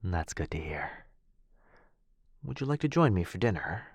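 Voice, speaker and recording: A man playing a courteous, gentle, centuries-old vampire lord in a soft, breathy ASMR roleplay, hesitant and genteel in manner. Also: sits low, very animated, normal speed, dry recording, exaggerated voice. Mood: warm, courteous. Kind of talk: boyfriend ASMR roleplay acting.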